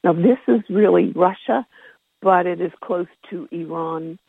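Husky, creaky voice on a tinny phone line. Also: medium pitch, a little slow. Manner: conversational, self-correcting. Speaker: conference call presenter giving an earthquake report. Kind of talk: informal spoken report read from notes. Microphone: telephone line. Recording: tinny, phone-like conference call line with echo.

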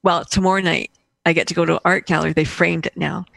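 A woman's voice: on the low side, flat delivery, quick pace, creaky, a bit bright, no room sound. A woman from Austria, a guest on an interview show, tells stories casually and animatedly, acting out the dialogue as she goes. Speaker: guest, painter and coach.

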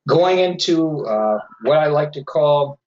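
A man's voice: a little high, lively intonation, slow, a little mellow. A man hosting a paranormal radio show, talking earnestly and lecturing his listeners while losing his voice. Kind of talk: solo talk radio monologue. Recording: slightly dull lo-fi audio.

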